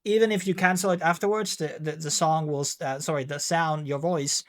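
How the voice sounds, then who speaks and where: A man's voice: fairly high pitch, fairly even intonation, a little fast. A man with a Finnish accent, speaking English as a second language in a rambling, casual tutorial with many fillers and restarts. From Finland. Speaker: tech video host.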